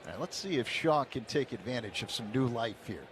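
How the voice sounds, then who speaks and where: A man calling baseball play-by-play on the radio in a steady, measured way. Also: medium pitch, slight background noise. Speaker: play-by-play announcer.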